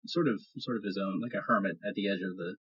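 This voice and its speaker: A man narrating a tabletop game as game master, improvising in a halting way and repeating phrases. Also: sits low, a bit bright, breathy edge, highs cut off.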